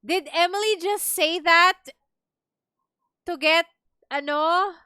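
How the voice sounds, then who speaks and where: A woman's voice: extremely high pitch, slow, pushed and bright, no echo, studio quality. A woman with a Filipino accent giving casual live game commentary, reacting in short asides. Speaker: game streamer.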